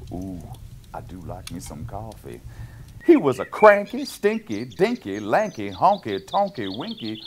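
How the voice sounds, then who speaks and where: A man's voice: medium pitch, slight background noise, pitched below the performer's own voice. A middle-aged man playing a jolly Canadian lumberjack, reading a picture book to children with playful, exaggerated sing-song rhythms and chuckly asides. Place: small indoor cabin-style set.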